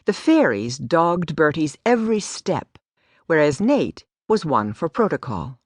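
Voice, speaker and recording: A woman's voice: low-pitched, big pitch swings, measured pace, no room sound, studio quality. A woman giving a scripted story narration as an audiobook narrator. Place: dry studio.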